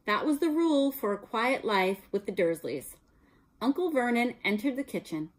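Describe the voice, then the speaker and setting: A woman's voice: on the high side, lively intonation, normal speed, rough recording. A middle-aged American woman, a teacher reading a children's story aloud expressively. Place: small room at home, indoors.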